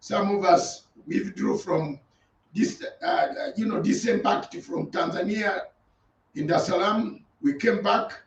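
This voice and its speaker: A man, an interview guest deliberately recounting his life story in listed steps. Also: high voice, slight background noise. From Uganda. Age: 60s. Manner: deliberate, list-like recounting with repeated phrases. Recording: distant, roomy and muffled.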